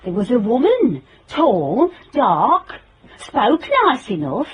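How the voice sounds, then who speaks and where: A woman's voice: a little high, big pitch swings, slow pace, slightly rough, highs cut off, heavily acted. An actress playing a wary, defensive landlady in a radio drama, indignant about her respectability. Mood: guarded, indignant. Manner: suspicious, indignant, guarded.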